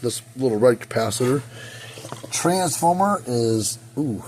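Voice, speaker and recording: A hoarse man of middle pitch talks through a repair job in his workshop, thinking aloud as he works with his hands. He rambles and restarts in a loose, casual way. A phone picks him up with a faint hiss of noise behind him. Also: animated, unhurried. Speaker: repair technician. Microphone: phone camera.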